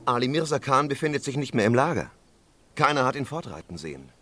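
A man acting in a German radio drama as an urbane, inquisitive British gentleman adventurer, polished, bookish and musing. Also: mid-range voice, very animated, fast, close to an everyday voice. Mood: pensive.

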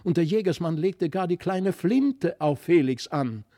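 A man narrating a literary fairy tale in a dramatic, expressive manner. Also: on the high side, unhurried. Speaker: storyteller.

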